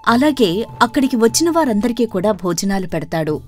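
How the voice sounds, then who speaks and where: A woman's voice: a little high, sing-song, normal speed. A woman narrating a scripted storybook tale as its narrator. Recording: music under the voice. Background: background music.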